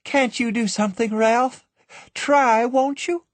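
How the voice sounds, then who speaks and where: A man voicing a lively young woman in a light, raised voice. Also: sits high, slow pace, no echo, pitched above the performer's own voice, full character voice. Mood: pleading.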